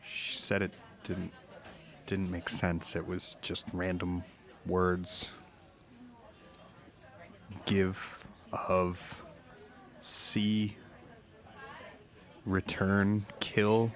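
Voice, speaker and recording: An actor playing a haunted witness in an audio drama, recounting eerie events in a halting, uneasy way. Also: male voice, low-pitched, flat delivery, heavily acted. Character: haunted married truck driver recounting eerie encounters. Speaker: podcast guest, witness. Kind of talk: naturalistic audio drama storytelling.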